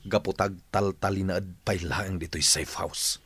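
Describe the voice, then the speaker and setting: An actor playing a resentful, hot-tempered son of a syndicate boss in a radio drama, bitter and confrontational. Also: male voice, sits low, steady tone, measured pace, a bit bright, slight background noise. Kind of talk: Ilocano radio drama acting.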